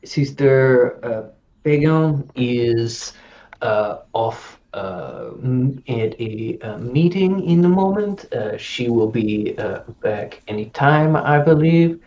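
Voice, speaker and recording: A man's voice: medium pitch, animated, slow pace, darkish tone, lo-fi sound, slight background noise. A man voicing a polite, diplomatic male cleric speaking to visitors in a fantasy game. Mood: courteous.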